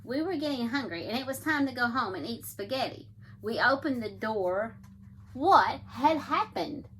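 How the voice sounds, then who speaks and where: A woman reading picture books aloud to young children in an animated, engaging way, often addressing her listeners directly. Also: fairly high pitch, normal speed, slight background noise, poor audio.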